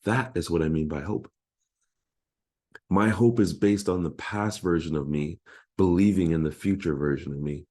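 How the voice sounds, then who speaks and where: A man's voice: low-pitched, moderate pace, warm tone, breathy edge. A man in his thirties, a life coach giving an earnest, heartfelt motivational talk.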